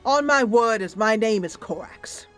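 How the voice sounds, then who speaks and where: A woman's voice: on the high side, animated, measured pace, a bit bright, soft background music, voice pushed up. A woman voicing a wary, grudging but reasonable male lumberjack in a fantasy roleplay, plain-spoken and bargaining. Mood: grudging agreement. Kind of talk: tabletop fantasy roleplay character.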